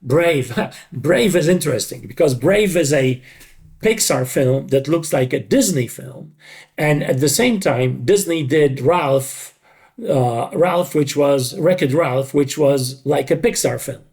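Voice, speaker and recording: A middle-aged or older man speaking reflectively in an interview, in deliberate, halting, emphatic short phrases. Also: a little high, expressive, a little mellow. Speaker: dubbing creative director.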